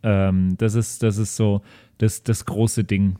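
A man's voice: fairly low pitch, flat delivery, slow pace, warm tone. A man talking casually with others while brainstorming ideas. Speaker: podcast player in an audio drama cast.

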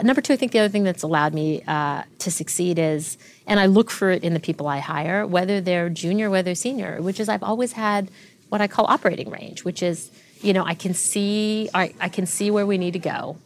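Woman in her forties, somewhat low voice, animated and fluent, slight background hiss. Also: a little fast. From Canada. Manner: fluent, animated storytelling with frequent restarts. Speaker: tech executive guest. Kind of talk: interview conversation.

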